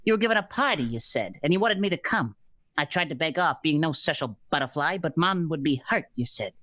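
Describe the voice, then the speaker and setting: A woman's voice: low voice, animated, brisk, fairly bright tone, no room sound, voice pushed down. A woman playing a tough, cold male private detective in 1940s radio drama style, bossy and hard-boiled. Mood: recounting, wry.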